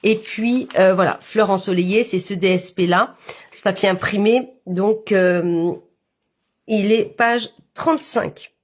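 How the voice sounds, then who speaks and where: A woman's voice: mid-range voice, unhurried. A woman with a head cold, talking nasally as she walks viewers through a papercraft project step by step, with many fillers. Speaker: papercraft instructor. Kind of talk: live-stream craft tutorial.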